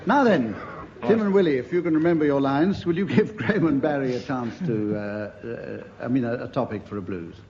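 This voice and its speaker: Casting: older British male panel game chairman, mid-pitched, on a dull, slightly noisy old radio recording. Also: animated, fairly quick, hardly any pauses, a little mellow. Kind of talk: hosting a comedy panel game. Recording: muffled old radio recording, background noise. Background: studio audience, faint noise.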